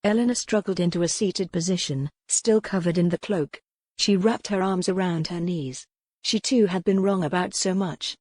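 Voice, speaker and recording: A woman's voice: fairly low pitch, a little mellow, no echo, clean studio sound. A woman reading scripted, dramatic historical romance narration as an audiobook narrator.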